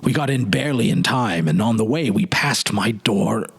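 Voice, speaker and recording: A man playing a weary, haunted politician of forty in a storybook reading, confessing his regrets in a halting, sorrowful, dramatic way. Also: fairly low pitch, expressive, creaky, slightly rough, exaggerated voice. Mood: recollecting, tense. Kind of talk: dramatic literary audiobook reading.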